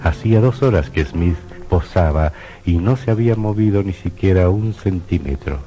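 Very deep-voiced male horror narrator. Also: lively intonation, normal speed, mellow, muffled recording. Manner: suspenseful, theatrical, sinister. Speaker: narrator of a horror radio play. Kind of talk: dramatic scripted horror narration. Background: music.

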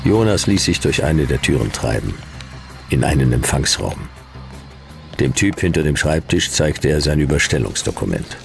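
An actor playing a dry, world-weary, laconic private detective in a noir radio play. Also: male voice, a bass voice, sing-song, moderate pace, gravelly, faint music behind, full character voice. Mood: weary narrating. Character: hard-boiled male private detective narrating his case, dry, world-weary.

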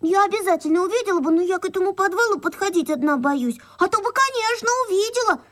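Shrill, excitable little girl. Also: fast talker. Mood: excited, spooked. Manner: emphatic, dramatic, childish boasting. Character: little girl, boastful, excitable, stubborn, easily scared. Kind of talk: children's radio play acting.

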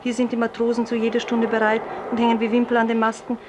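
A woman's voice: on the high side, monotone, fast talker, natural voice. An actress playing a dreamy, wilful young woman who yearns for the sea, lyrical and declamatory in 1950s German radio play style. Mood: wistful, questioning. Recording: roomy, hissy 1950s radio drama recording.

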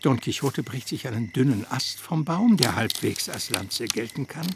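A man's voice: mid-range voice, lively intonation, moderate pace, noisy background. A man narrating action in a radio play, scripted, vivid and dramatic.

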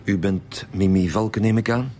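An actor playing a gruff, blunt chief homicide inspector with a Flemish accent in a radio drama. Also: male voice, on the low side, measured pace, dark tone, slight background noise, lightly acted. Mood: formal, questioning. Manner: blunt, businesslike. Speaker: detective. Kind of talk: naturalistic radio drama acting.

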